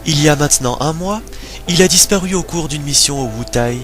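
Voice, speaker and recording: A man voicing a suave, formal military director, polite and measured, in an amateur video game dub. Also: a little high, fairly bright tone, soft background music, natural voice. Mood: businesslike.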